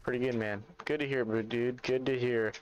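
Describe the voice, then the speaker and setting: A man's voice: mid-range voice, steady tone, normal speed, lo-fi sound, slight background noise. A man, chatting casually with his livestream chat while playing a hunting game. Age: 20s. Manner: laid-back, chatty, reads and answers chat. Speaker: streamer.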